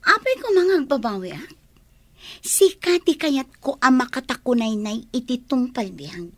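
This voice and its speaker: A woman's voice: high-pitched, very animated, normal speed, dry recording, exaggerated voice. An actress with an Ilocano Filipino accent playing a lovestruck, insistent woman who pleads emotionally in a radio drama. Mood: insistent pleading.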